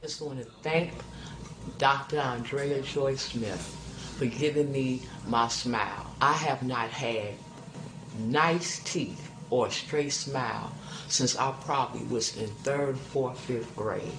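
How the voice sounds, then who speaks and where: A man's voice: medium pitch, slow pace. Man's testimonial in an echoey, noisy room. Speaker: patient giving a testimonial. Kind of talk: personal video testimonial. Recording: echoey room with clear background noise.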